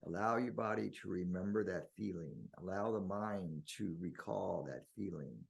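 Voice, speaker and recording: A man leading a guided meditation in slow, deliberate phrases with long pauses and a husky, gravelly voice. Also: low-pitched.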